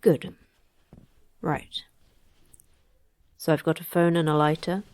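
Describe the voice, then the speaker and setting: A woman playing the terse, secretive manager of a secret facility in an audio drama, deadpan and commanding. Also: low-pitched, slow pace, no room sound. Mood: firm, guarded. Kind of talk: audio fiction drama acting.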